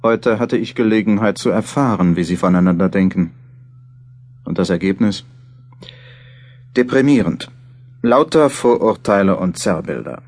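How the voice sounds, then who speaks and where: Here is a thoughtful journalist in a radio play. His voice is low and somewhat rough and breathy. He muses through his diary, questioning himself with mild doubt. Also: moderate pace, long pauses, a little mellow. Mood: reflective, sobered. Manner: musing, self-questioning. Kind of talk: German radio play monologue.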